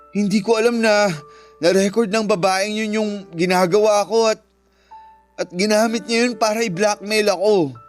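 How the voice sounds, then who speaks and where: High-voiced, evasive Filipino boyfriend. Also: male voice. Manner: defensive, evasive. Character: defensive, evasive boyfriend caught stealing savings. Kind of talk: Filipino radio drama acting. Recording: music under the voice. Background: background music.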